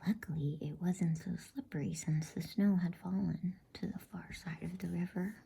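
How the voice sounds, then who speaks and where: A woman reads a bedtime story in a breathy ASMR whisper, pitched low and dark. She takes it very slowly and softly, narrating from a storybook in a soothing, unhurried way. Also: steady tone. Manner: soft, slow, unhurried, soothing delivery.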